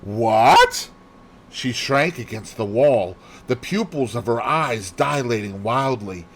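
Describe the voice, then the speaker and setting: A man's voice: medium pitch, expressive, measured pace, a bit bright. A man reading a novel aloud in a theatrical storytelling style, acting out the dialogue. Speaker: amateur audiobook reader. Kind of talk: dramatic novel read-aloud.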